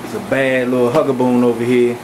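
A man giving a relaxed, rambling walk-through of his home aquarium room, speaking casually with many fillers into a handheld camera. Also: mid-range voice, a little fast. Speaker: fish breeder giving a tour. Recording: camcorder audio with fan hum.

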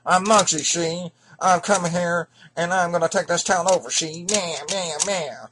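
A man's voice: high-pitched, measured pace, fairly bright tone. A man voicing a cocky small-time gangster villain who talks like a wiseguy with repeated tag words. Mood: menacing, cocky.

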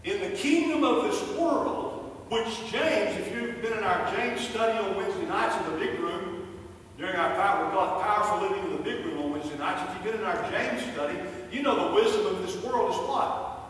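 An older man delivers an emphatic sermon in a rather high voice, circling back on phrases to drive them home. The sound is heavily echoed and distant, as if caught from across a church. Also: brisk, fairly bright tone. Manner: emphatic, repeats key phrases for weight. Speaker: pastor preaching. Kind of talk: sermon to a congregation. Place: church sanctuary, indoors. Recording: very echoey, distant hall recording with noise.

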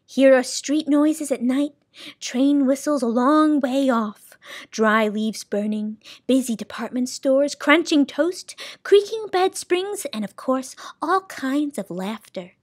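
Woman playing a high, tearful keeper of sounds. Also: takes it slowly, no room sound, clean studio sound. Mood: gentle. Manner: high, tearful, then gentle and motherly. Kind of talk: storybook read-aloud.

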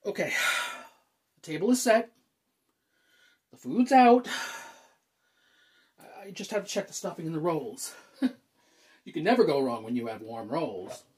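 Man acting anxious, halting thirty-year-old, widely swinging pitch. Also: lively intonation, darkish tone, husky. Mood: resolving, tense. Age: 30s. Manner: halting, nervous, sighs and stammers. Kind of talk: dramatic monologue, self-taped acting.

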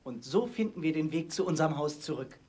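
A woman's voice: deep voice, lively intonation, a little fast, mellow. A brave, clever young boy played by a woman in a children's fairy-tale play. The voice is earnest and reassuring, moving freely up and down.

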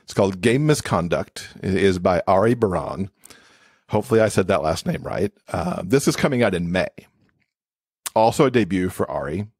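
Man, lowish pitch, enthusiastic, casual chat, podcast guest, dry close sound. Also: expressive, normal speed, a little mellow, slightly rough, no room sound.

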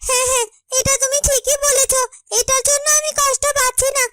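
Fairy-tale serpent sister: extremely high, small, earnest, melodramatic, music behind. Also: female voice, level tone, a little fast, a bit bright. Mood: grieving. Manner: earnest, melodramatic, repeats words. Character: small grieving female serpent, devoted sister. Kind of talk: fairy-tale cartoon voice acting.

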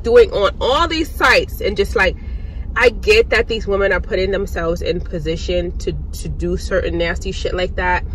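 Animated young woman vlogging. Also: medium pitch, noisy background. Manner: animated, exasperated, swears freely. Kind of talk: casual vlog chat and rant. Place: small room at home, then inside a parked car. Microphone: phone camera at arm's length.

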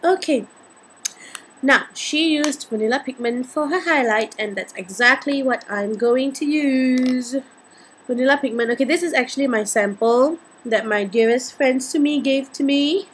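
A woman in her twenties with a Singaporean accent and a slightly husky voice, chatting playfully through a casual makeup tutorial. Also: high-pitched, moderate pace, slight background noise. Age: 20s. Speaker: beauty vlogger. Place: indoors, small home room.

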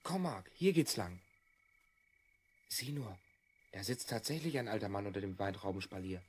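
A male actor playing an earnest, excitable twelve-year-old boy from the future in a children's audio drama. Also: lowish voice, normal speed, breathy edge. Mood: brisk, teasing. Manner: earnest, exclamatory, emphatic.

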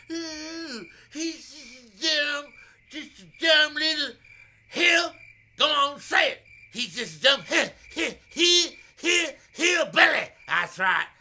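A man's voice: extremely high pitch, bright, forceful tone, slight background noise, heavily acted. A man playing a crazed, resentful old hillbilly mad scientist, ranting in sing-song menace. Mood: bitter, manic.

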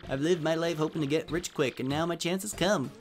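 An eager little game creature voiced by a man with a fairly high voice. He is a friendly, hopeful prospector, heard over game music. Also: brisk, lightly acted.

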